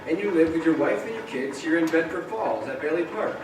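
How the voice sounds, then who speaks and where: A man's voice: fairly high pitch, expressive, fairly quick, lightly acted. A man acting a frantic, bewildered family man in a stage radio play, agitated and pleading. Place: live stage in a large echoing hall. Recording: echoey hall from far off, noisy.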